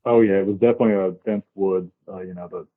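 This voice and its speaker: An American man from the southern US, casually telling a first-hand story as an interview guest, descriptive and a little halting. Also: low voice, steady tone. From southern United States, grew up in Florida. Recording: tinny, band-limited remote call.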